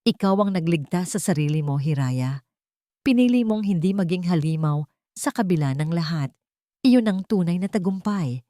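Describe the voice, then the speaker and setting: A woman narrator reading the lines of a steady, reassuring, devoted man in a dramatic story. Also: lowish voice, normal speed, dry recording, clean studio sound, natural voice. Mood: warm, earnest.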